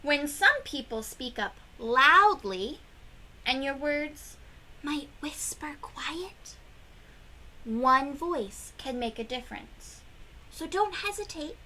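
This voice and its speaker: A woman reading a picture book aloud to young children, with expressive emphasis and questions to her listeners. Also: high voice, slow, a little hiss or hum, low-quality recording. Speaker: children's read-aloud host.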